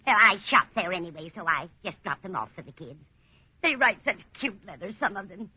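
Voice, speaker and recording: A woman playing a kindly, absent-minded older landlady in a 1940s radio comedy, with a husky voice and chatty, motherly manner. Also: medium pitch, sing-song, a little fast, bright, forceful tone, no room sound, full character voice. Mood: fond, chatty. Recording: dull 1940s radio recording.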